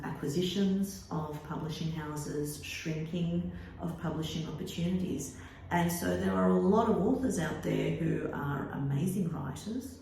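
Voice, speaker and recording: Aussie woman author talking it through, low gravelly voice, lots of ums, echoey room. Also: darkish tone, slight background noise. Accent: Australian. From Australia. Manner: thoughtful, many ums and restarts. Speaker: author and teacher being interviewed. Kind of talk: casual interview answers.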